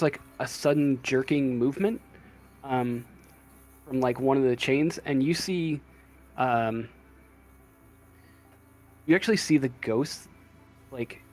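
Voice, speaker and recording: A man narrating a tabletop role-playing game as game master, describing scenes in halting pieces. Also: mid-range voice, darkish tone. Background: music in the background.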